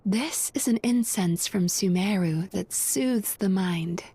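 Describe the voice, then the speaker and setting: An actress playing a gentle, playful young dream therapist in a video game, speaking in a soft, breathy, coaxing and reassuring way. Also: female voice, medium pitch. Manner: coaxing, unhurried, calmly reassuring. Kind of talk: soft, soothing guided-hypnosis game dialogue.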